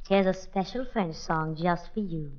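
A woman's voice: on the low side, a loud crowd, lightly acted. An actress playing a coy, teasing lady singer in 1940s radio comedy banter. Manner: coy, unhurried. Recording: muffled vintage radio recording.

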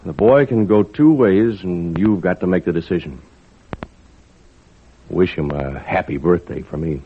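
A fair-minded fisherman from a 1940s radio play. He speaks low, plainly and earnestly. The sound is thin and boxy, with faint noise. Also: measured pace. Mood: grave. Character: steady, fair-minded fisherman, fatherly to a boy. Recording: noisy, narrow-band 1940s radio recording.

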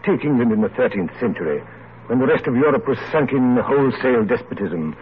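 A man's voice: mid-range voice, lively intonation, brisk, lightly acted. An actor playing a genial, reflective older English man of letters, courtly and oratorical, in an old radio play. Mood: proud, earnest. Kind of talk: 1940s radio drama acting. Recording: muffled, noisy vintage radio recording.

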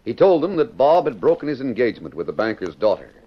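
A man's voice: mid-range voice, sing-song, quick pace. A man with an American accent narrating an old-time radio serial as its announcer, in a formal, dramatic storytelling manner. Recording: muffled vintage radio recording.

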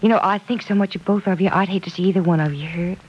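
A woman playing a caring, protective guardian, gentle and earnest with a teasing side, in 1940s radio serial acting. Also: on the low side, steady tone, fast talker, a little hiss or hum, lightly acted. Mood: earnest, concerned. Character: Penny Gibbs, caring, protective woman guardian wary of young love. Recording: dull old radio recording.

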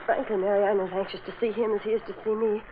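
Woman acting rushed young actress, talks fast, earnest, vintage radio play, tinny. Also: mid-range voice, little pitch movement, background noise, natural voice. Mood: hurried, resolute. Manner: hurried, earnest. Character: hurried, determined young actress. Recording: vintage radio, limited band, music behind.

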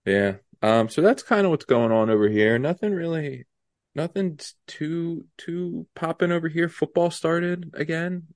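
A young man with a stuffy, congested nose co-hosting a casual podcast chat, halting with many ums and restarts. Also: on the high side, no room sound, clean studio sound. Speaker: podcast co-host.

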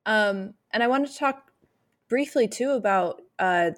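A woman's voice: medium pitch, expressive, measured pace. A young adult woman interviewing a scholar, asking long, thoughtful questions full of hedges. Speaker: podcast interviewer.

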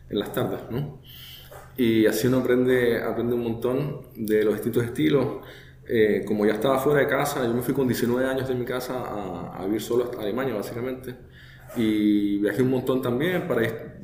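A man's voice: medium pitch, darkish tone, reverberant, slight background noise. A man in his thirties from Chile, with a Chilean Spanish accent, talking as a guest in an interview, with many hesitations and repeated words. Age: 30s. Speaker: guest brewmaster. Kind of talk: relaxed conversational interview.

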